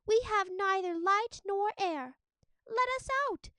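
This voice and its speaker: A woman's voice: extremely high pitch, slow, pushed and bright, no room sound, voice pushed up, heavily acted. A woman voicing a tiny, helpless trapped creature in a storybook, with a thin, urgent, pleading voice.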